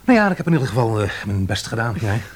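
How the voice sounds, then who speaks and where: An actor playing a bumbling, earnest male scholar in a Dutch radio drama, husky, hesitant, wordy and apologetic. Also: fairly low pitch, very animated, quick pace. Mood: jovial, hopeful.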